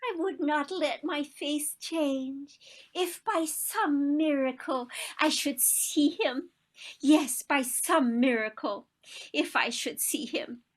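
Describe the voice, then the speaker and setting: Woman playing a wistful elderly lady, slow-paced, higher-pitched, dramatic and sighing, romantic, stage play acting. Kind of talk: one-act stage play performed on a video call.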